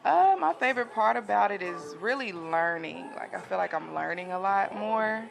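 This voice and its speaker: A young woman from Tennessee with a Southern accent, shy and soft-spoken, answering interview questions and laughing often. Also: mid-range voice, animated, normal speed, creaky, music in the background. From Jackson, Tennessee. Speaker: singer guest. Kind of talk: casual interview.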